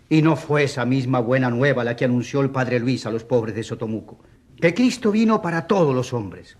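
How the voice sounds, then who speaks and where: An eloquent defence lawyer in a courtroom radio drama. His mid-pitched voice swings widely as he argues with emphatic rhetoric and ironic politeness. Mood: impassioned.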